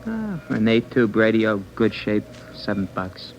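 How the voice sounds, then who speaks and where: An actor playing a young, complaining gang leader in a mid-century radio crime drama, speaking in streetwise slang. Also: male voice, fairly low pitch, big pitch swings, a little slow. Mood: grumbling. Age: young adult. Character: grumbling, streetwise young male car-burglary gang leader. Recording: dull, lightly noisy old radio broadcast.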